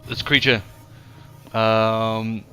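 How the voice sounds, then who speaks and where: A man's voice: on the low side, level tone, drawn-out words, bright, forceful tone, smooth, soft background music. A man with a New Zealand accent running a live tabletop game as game master, loose and playful, punchy when he narrates big hits.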